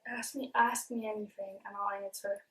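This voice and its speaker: A woman's voice: mid-range voice, little pitch movement, moderate pace, far from the mic, low-quality recording. A young woman vlogging casually to camera with a speech impediment that blurs some sounds, earnest and open, with many ums and repeated words. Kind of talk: casual confessional talk to camera.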